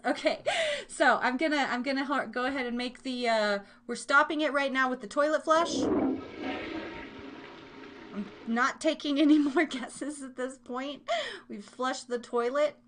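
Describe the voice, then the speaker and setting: A woman's voice: sits high, unhurried, fairly bright tone. A woman with an American accent hosting a live trivia game in a casual, playful, chatty way. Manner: playful, chatty, giggly asides to listeners. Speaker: live show host running a trivia game. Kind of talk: casual live-stream chat.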